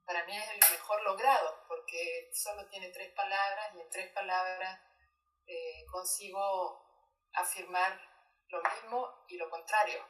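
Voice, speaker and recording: A woman in her late thirties from Chile, whose Spanish accent mixes Chilean and Mexican, talking through her ideas thoughtfully in a relaxed interview with pauses and frequent laughter. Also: fairly low pitch, roomy sound, distant mic, rough recording. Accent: Chilean Spanish mixed with Mexican. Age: 30s. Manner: thoughtful, pausing, laughs often. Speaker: poet and guest.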